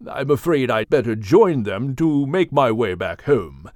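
Female reader playing dignified British whale gentleman, courtly, slow, formal, expressive, animal storybook. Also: a bass voice, no room sound, studio quality. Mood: regretful. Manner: formal, measured, hesitant courtesy.

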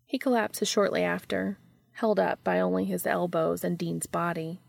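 A woman's voice: fairly low pitch, fairly even intonation, moderate pace, creaky, darkish tone, no room sound, studio quality. A woman reading scripted fiction as an audiobook narrator.

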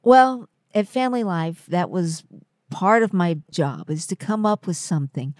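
A female co-host in casual, playful conversation. Her voice is warm and soft-edged, she takes her time, and she is recorded dry and close. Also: fairly low pitch, animated, unhurried, no room sound. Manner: playful, jokey. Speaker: podcast co-host and writer. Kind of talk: casual chat.

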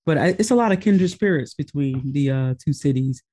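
A man's voice: a little high, lively intonation, fairly quick, warm tone. A man co-hosting a podcast, introducing a guest in an easygoing, rambling way. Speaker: podcast co-host.

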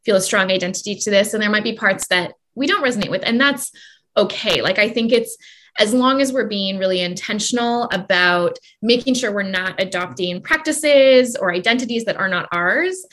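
A woman's voice: mid-range voice, normal speed, fairly bright tone. A woman from Canada, speaking as an interview guest in a long, reflective way with many fillers and restarts. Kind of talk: conversational interview.

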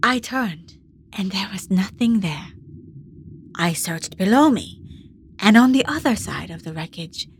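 Slow, hushed, wistful woman diver. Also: mid-range voice, lively intonation, slight background noise. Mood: hushed, uneasy. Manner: measured, hushed, reflective storytelling. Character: wistful Japanese ama diver woman recounting her haunting underwater memories. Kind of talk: intimate narrated audio drama monologue.

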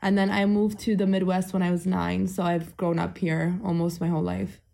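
Female guest from Ethiopia, steady and factual, gravelly fry in the voice. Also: fairly low pitch, moderate pace. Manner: calm, matter-of-fact, brief answers. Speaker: podcast guest. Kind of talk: casual interview conversation.

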